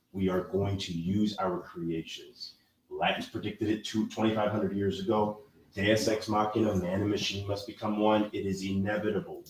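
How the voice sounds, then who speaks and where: A man's voice: sits low, roomy sound, distant mic, poor audio. A man hosting a podcast, lecturing in an emphatic, preachy way during a casual discussion. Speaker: podcast host.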